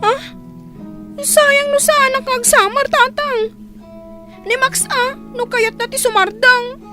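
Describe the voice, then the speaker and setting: A woman's voice: very high-pitched, fairly even intonation, slow. A woman playing a sly, whiny youngster who dodges farm work in a radio drama. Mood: whiny, evasive. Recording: loud plucked-string music under voice.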